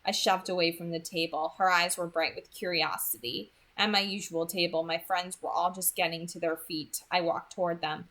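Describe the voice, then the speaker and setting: A woman's voice: fairly low pitch, fairly even intonation, normal speed, few pauses, a bit bright, low-quality recording. A woman reading a novel aloud as a hobby audiobook narrator, telling the story expressively.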